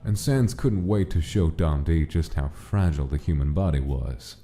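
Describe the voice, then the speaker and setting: A man narrating a gangster monster comic dub as a measured dramatic storyteller. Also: extremely low pitch, animated, mellow. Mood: measured, ominous.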